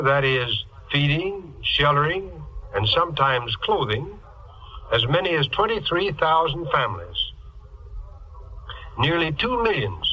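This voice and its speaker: A man giving a formal, deliberate scripted appeal in the manner of a 1950s radio address, on an old broadcast recording. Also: on the high side, pushed and bright, breathy edge, low-quality recording. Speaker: charity official making a public appeal.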